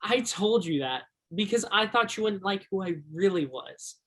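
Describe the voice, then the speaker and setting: An actor in a stage play as an earnest, romantic teenage high school boy with a theatrical way of talking. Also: expressive, moderate pace, fairly bright tone. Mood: pleading.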